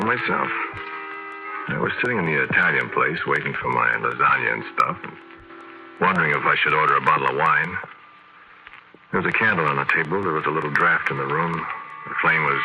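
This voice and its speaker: A man's voice: extremely low pitch, background noise. An actor playing a shaken, haunted man confiding his eerie story conversationally in 1940s radio drama style. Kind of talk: 1940s radio drama first-person narration. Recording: old radio drama with music behind.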